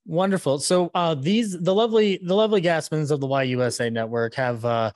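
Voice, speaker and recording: A man in his thirties hosting a casual panel discussion, talking in a halting, enthusiastic way. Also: a little high, darkish tone, no echo, studio quality. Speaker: podcast host and moderator. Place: small room indoors, video call. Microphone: podcast mic on boom arm, headset.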